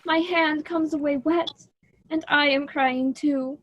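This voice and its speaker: A woman's voice: sits high, fairly even intonation, takes it slowly, lo-fi sound. A woman playing a vain, melodramatic young man of myth in a radio drama, declaiming each line. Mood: lovesick anguish.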